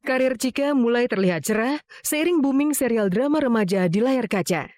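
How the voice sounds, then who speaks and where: A woman reading formal scripted narration in a measured storytelling manner for an entertainment profile. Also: fairly high pitch, animated, darkish tone, no room sound.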